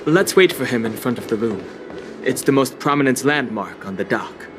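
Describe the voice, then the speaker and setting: A man playing a calm, courteous and knowledgeable traveler in an anime-style video game. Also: mid-range voice, normal speed, background noise, close to an everyday voice. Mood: composed.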